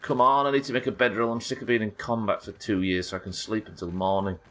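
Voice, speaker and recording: A British man with a naturally croaky voice, chatting casually and swearing while streaming a game. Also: on the low side, fairly even intonation, fast talker, a bit bright. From United Kingdom. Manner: chatty, swears, reads chat aloud. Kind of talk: casual gameplay chat.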